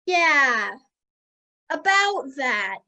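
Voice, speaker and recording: A woman's voice: high voice, big pitch swings, very slow, pushed and bright, heavily acted. A young woman playing a shifty fairytale troublemaker who feigns innocence in a comic stage play. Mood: evasive. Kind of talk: comic stage acting over video call.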